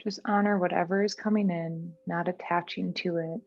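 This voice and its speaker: A woman guiding a meditation in a gentle, soothing, unhurried manner. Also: on the low side, steady tone, gravelly, lo-fi sound. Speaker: meditation guide. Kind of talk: guided meditation. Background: background music.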